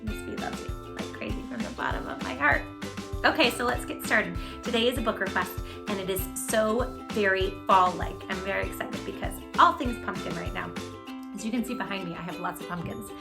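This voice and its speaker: A woman hosting a children's storytime, speaking in an animated, gushing way straight to young listeners as she chats and reads aloud. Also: medium pitch, moderate pace, vocal fry, over music. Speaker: children's storytime host and creator of the channel. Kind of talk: children's read-aloud and direct-to-viewer chat.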